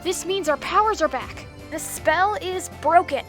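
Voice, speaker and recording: A woman's voice: extremely high pitch, measured pace. A woman voicing a young, earnest, commanding magical girl heroine in a comic fan dub. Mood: decisive. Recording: loud music behind the voice.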